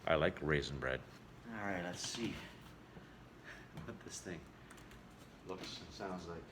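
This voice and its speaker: A man's voice: sits low, slow, very noisy. A man who entertains as a touring singer and show host, chatting casually in a folksy way as in a travel vlog or between songs.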